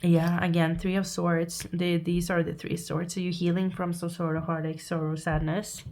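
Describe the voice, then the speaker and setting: Low gravelly Norwegian woman reading tarot. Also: little pitch movement. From Norway. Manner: halting, thinking aloud with restarts and soft laughs. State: sniffly. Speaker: tarot reader. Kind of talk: pick-a-card tarot reading.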